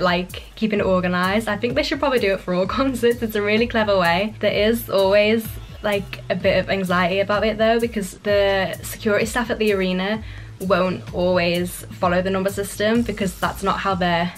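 A woman's voice: mid-range voice, fairly even intonation, a little fast, faint music behind. A woman, speaking earnestly in an interview from her bedroom and laughing often. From England. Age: 20s. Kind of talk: sit-down interview. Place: bedroom with photo wall.

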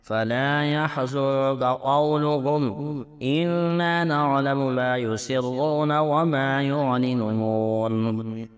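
A man reciting scripture in a melodic Quranic chant, measured, with drawn-out verse endings. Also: medium pitch, clean tone.

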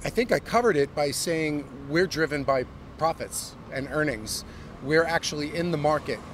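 American CEO pitching on a noisy street. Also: male voice, lively intonation, normal speed. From United States. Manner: fluent corporate pitch with frequent um and uh. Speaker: company CEO. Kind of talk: street interview. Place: busy downtown street outdoors. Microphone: handheld mic held by interviewer. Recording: outdoor street with traffic noise. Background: city traffic.

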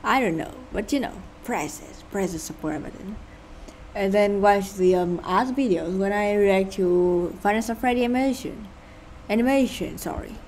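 A woman's voice: medium pitch, darkish tone, a little hiss or hum, rough recording. A woman with a Vietnamese accent who speaks English as a second language, casually commenting on her gameplay with sarcastic asides and sighs. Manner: sighing, sarcastic asides, halting and unhurried. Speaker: gaming channel host.

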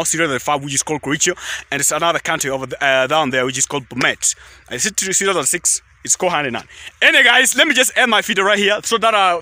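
Bright-voiced Kenyan man vlogging outdoors. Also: on the high side. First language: Kalenjin. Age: young adult. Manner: rambling, repetitive, keeps saying guys. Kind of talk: casual selfie vlog. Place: outdoors in a tea field. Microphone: phone at arm's length.

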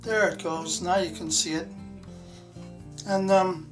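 A man's voice: high voice, fairly even intonation, slow, music in the background. Folksy man telling a home story. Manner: plain, rambling, folksy retelling. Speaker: vlog co-host, handyman husband. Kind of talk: casual storytelling.

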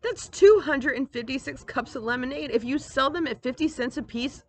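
A woman's voice: sits high, moderate pace, voice pushed up. A woman voicing a hot-headed young girl in an emphatic storybook read-aloud. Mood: incredulous, alarmed. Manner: emphatic, exclaiming. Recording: outdoor ambient noise, device microphone.

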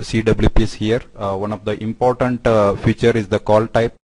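Male product demonstrator, halting explanatory delivery, little pitch movement, close dry sound. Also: a little mellow, dry recording, low-quality recording. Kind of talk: screen-share product demo narration.

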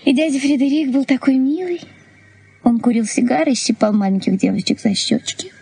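Radio drama lead: playful, impatient young sweetheart, high-pitched with a husky edge, light and expressive. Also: female voice, fairly quick, full character voice. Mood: wistful, playful. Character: playful, teasing young woman in love, spirited and impatient. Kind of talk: Soviet radio play acting.

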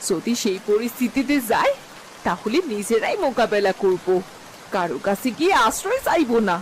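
A woman's voice: medium pitch, sing-song, moderate pace, a little hiss or hum, natural voice, rough recording. A woman voicing a proud, scolding village wife in a folk-tale cartoon. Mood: defiant. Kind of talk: dramatic cartoon dialogue. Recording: music and rain under dialogue.

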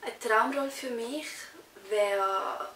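A woman's voice: fairly high pitch, slow pace, pushed and bright. A young adult woman with a Swiss German accent, giving casual interview answers. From Switzerland. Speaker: interviewee, actress. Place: small indoor room, on a sofa. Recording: roomy echo, distant mic, faint hiss.

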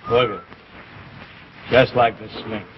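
An actor playing a surly, sarcastic, bullying husband in a 1950s live TV drama, sneering and barking orders. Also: male voice, fairly low pitch, fairly even intonation, a little slow, lightly acted. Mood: bitter, bossy. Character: surly sarcastic beer-drinking working-class husband, bullying and bitter. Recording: dull, very noisy 1950s TV recording.

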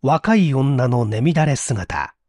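A man's voice: medium pitch, lively intonation, slow pace, darkish tone, no room sound, soft background music. A man reading a literary mystery story aloud as a dramatic narrator. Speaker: story reader.

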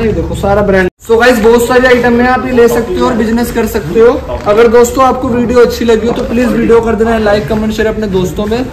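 A man in his twenties with a North Indian accent gives an informal, repetitive sales pitch to camera, addressing viewers as friends. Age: 20s. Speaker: wholesale clothing seller and vlogger. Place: large indoor warehouse full of clothing bales. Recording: echoey room with clear background noise.

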